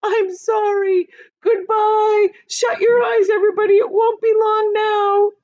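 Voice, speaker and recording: A woman's voice: very high voice, flat delivery, measured pace, darkish tone, lo-fi sound, voice pushed up. A woman voicing an earnest, commanding young boy in a children's storybook reading, speaking with urgency. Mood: despairing crying out. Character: commanding, brave young boy leading his insect friends.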